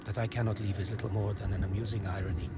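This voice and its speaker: An actor playing a serene, cryptic old sage in a video game, speaking slowly and formally in a gravelly half-whisper. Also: male voice, fairly low pitch, level tone, lightly acted. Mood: amused, serene. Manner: slow, theatrical, formal phrasing. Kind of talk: dramatic video game acting. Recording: loud music behind.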